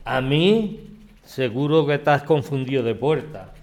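Un hombre que interpreta a un vecino anciano, gruñón y testarudo, de trato brusco, en una radionovela. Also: male voice, mid-range voice, expressive, unhurried, close to an everyday voice. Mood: grumpy, dismissive. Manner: brusque, dismissive. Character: grumpy, stubborn elderly male neighbour living alone. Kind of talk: radio drama acting.

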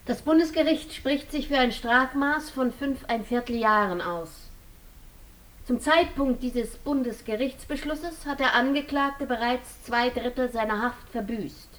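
A woman's voice: sits high, measured pace, poor audio. An actress performing in a German radio drama, voicing a female court announcer and dinner hostess. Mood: formal.

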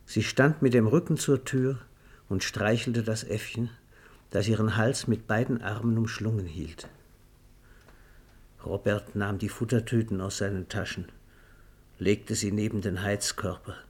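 A man narrating a literary radio drama in a measured, matter-of-fact manner. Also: on the low side, a little mellow, slightly rough.

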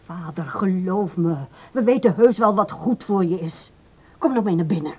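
An actress playing a controlling grown daughter in a radio drama, speaking in a brisk, formal, placating way. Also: female voice, lowish voice, animated, darkish tone, breathy edge. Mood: firm. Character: polite but evasive, controlling adult daughter.

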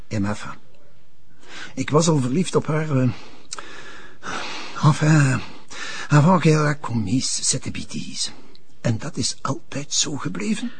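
An actor playing a pompous, influential notary in a TV drama, speaking with a Flemish accent, formal and hesitant, slipping into French phrases. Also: male voice, medium pitch, creaky, husky, heavily acted. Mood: confiding. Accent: Flemish Belgian. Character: smooth-mannered, pompous, influential male notary. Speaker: actor in a police drama series.

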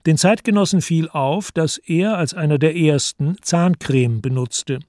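A man reading scripted literary narration for a radio play in a measured, matter-of-fact way. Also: a little high, warm tone, no echo, clean studio sound.

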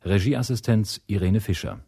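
A man's voice: lowish voice, lively intonation, normal speed, gravelly, mellow, slightly rough. A man reading scripted radio play credits as a formal announcer.

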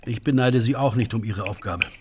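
An actor playing a courteous negotiator in a radio drama, polite and measured, with a husky voice. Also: male voice, medium pitch, slight background noise, natural voice. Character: courteous, observant male landscape negotiator.

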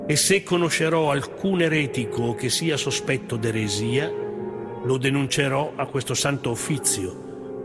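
An actor playing a humbled seventy-year-old man in a stage monologue, solemnly and formally reciting a sworn recantation with deliberate pauses. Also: medium pitch, natural voice. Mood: grave, resigned. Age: 70s (figure). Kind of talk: theatrical dramatic monologue. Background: music.

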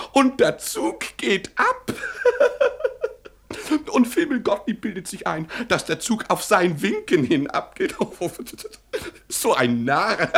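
A man's voice: a little high, vocal fry, fairly bright tone, slightly rough, full character voice. An actor in a German radio play as a fawning, chattering valet and barber who rambles and giggles at his own gossip.

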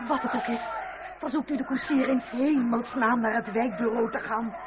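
Woman playing a high-voiced, imperious noble lady. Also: steady tone, moderate pace, lightly acted. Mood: indignant. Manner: imperious, exclamatory, formal. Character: indignant, impatient high-born lady traveller. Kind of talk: period radio drama acting. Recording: noisy, narrow old radio recording.

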